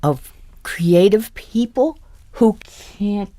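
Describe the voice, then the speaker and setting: A woman, an actor, speaking gravely and haltingly as she reflects in a panel discussion. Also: mid-range voice, expressive, dark tone, no room sound.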